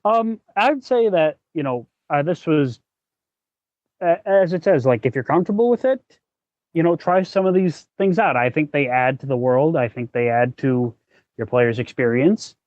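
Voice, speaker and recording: A man hosting a podcast, giving casual advice in a choppy, halting cadence. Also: on the high side, lively intonation, darkish tone, no echo. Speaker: podcast host. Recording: dull, lo-fi close audio.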